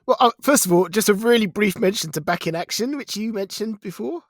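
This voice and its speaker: A man co-hosting a podcast in casual chat, colloquial and animated when he tells things. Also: sits high, brisk, dry recording, clean studio sound. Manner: colloquial, animated retelling with quoted lines. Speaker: podcast co-host.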